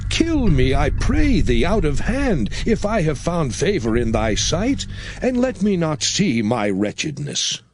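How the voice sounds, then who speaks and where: Casting: burdened biblical prophet, highish voice, widely animated, impassioned, over background music. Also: male voice, a little slow. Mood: anguished, pleading. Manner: impassioned, theatrical pleading. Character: Moses, burdened male prophet, anguished and pleading. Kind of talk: dramatized scripture reading.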